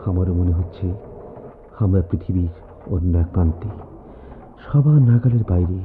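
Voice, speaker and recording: Casting: affectionate, fretful young lover for radio play, thin phone-like sound over clear noise. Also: male voice, sits low, animated, normal speed. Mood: tender, intimate. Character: tender, anxious young male lover on a train. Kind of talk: Bengali radio drama acting. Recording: tinny radio drama recording, loud background noise.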